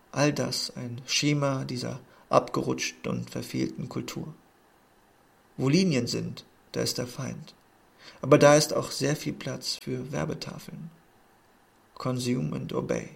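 A man reading a literary text aloud in a measured, earnest way. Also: mid-range voice, gravelly, slightly rough. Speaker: narrator.

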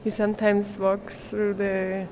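Slow, halting young woman from Switzerland. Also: medium pitch, monotone, darkish tone, slight background noise. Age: young adult. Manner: hesitant, searching for words, with small laughs. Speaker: performance artist, art student being interviewed. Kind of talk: casual interview in non-native English.

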